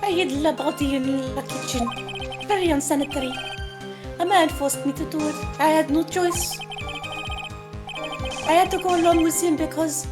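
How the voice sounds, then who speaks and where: Woman doing a high-pitched, frightened French restaurateur. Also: moderate pace, long pauses, lightly acted. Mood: fearful, sheepish. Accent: put-on French. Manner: theatrical, pleading, broken by French words. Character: frightened, campy French restaurant owner, a grown man. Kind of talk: comedic live fan dub of a video game. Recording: game music behind the voice.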